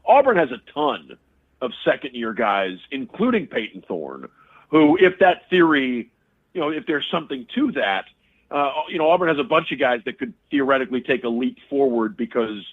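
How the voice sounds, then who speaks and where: A man's voice: mid-range voice, very animated, moderate pace. A man talking casually as a sports radio analyst, rambling with many restarts and fillers. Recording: tinny phone-line audio.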